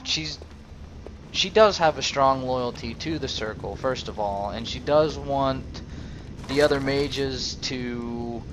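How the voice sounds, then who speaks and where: A man narrating and commenting on his video game role-play in a halting, thoughtful way. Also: medium pitch, muffled recording, noisy background.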